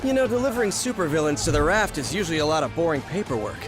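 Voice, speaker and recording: An actor playing an upbeat young masked superhero in a video game, chatty and full of jokes. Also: male voice, on the high side, very animated, racing, over loud music. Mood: playful. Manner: chatty, joking. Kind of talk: video game cutscene acting.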